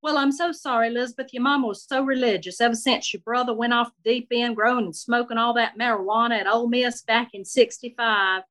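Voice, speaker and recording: A woman voicing a warm, nosy seventy-seven-year-old Southern lady with a Southern accent, talking with no filter. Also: fairly quick, hardly any pauses, natural voice. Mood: gossipy. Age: 70s. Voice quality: voice jumps high when excited. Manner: gushing, outspoken, no filter.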